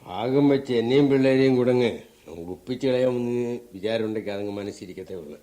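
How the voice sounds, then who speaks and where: An actor playing a drunken, defiant, aggrieved husband in a radio drama, with a southern Kerala Malayalam accent and a blustering, grumbling delivery. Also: male voice, mid-range voice, steady tone, quick pace, warm tone, close to an everyday voice. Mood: belligerent. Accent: Kerala Malayalam, southern Travancore. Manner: blustering, grumbling, with frequent grunts. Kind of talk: mid-century Indian radio drama acting.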